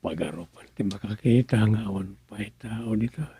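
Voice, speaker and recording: A man's voice: on the low side, moderate pace, mellow, breathy edge. A man with an Ilocano Filipino accent acting as an evasive, scheming village husband in a comic radio serial. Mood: sly muttering.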